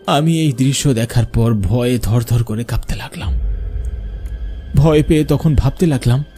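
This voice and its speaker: A man's voice: medium pitch, warm tone, close to an everyday voice. A man playing a frightened village showman, narrating his own horror story for a cartoon. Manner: dramatic storytelling. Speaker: narrator and main character. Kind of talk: scripted first-person horror cartoon narration.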